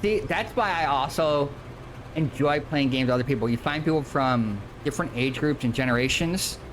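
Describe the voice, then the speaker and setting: A man's voice: mid-range voice, normal speed, a little hiss or hum, low-quality recording. A man in his early forties chatting casually and informally as he streams a game. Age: early 40s. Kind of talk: casual chat while gaming.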